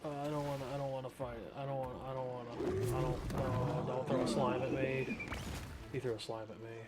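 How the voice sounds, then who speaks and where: A man's voice: medium pitch, little pitch movement, fairly quick, heavy background noise. A man giving casual gameplay commentary, rambling to himself in a wry, informal way. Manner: rambling, self-talking, wry. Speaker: gamer, streamer. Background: game music.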